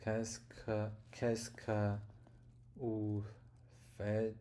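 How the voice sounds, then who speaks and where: Halting man practicing French phrases aloud. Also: lowish voice, completely flat delivery, dark tone. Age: adult. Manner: halting, repeats phrases slowly, stumbles on words. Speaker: adult learner of French. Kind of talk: self-recorded pronunciation practice.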